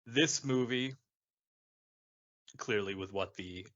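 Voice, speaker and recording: A man's voice: fairly low pitch, takes it slowly, fairly bright tone, dull audio. A man in his twenties co-hosting a podcast, bantering casually and swearing as he teases his friends. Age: 20s. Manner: teasing, profane banter. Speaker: podcast co-host. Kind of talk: casual chat.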